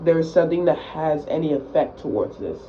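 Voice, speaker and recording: A man's voice: fairly high pitch, expressive, brisk, close to an everyday voice. A man playing a formal TV news anchor delivering a mock breaking-news report. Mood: formal, questioning. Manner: formal, announcing. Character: formal male TV news anchor during emergency. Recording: muffled lo-fi audio, faint noise.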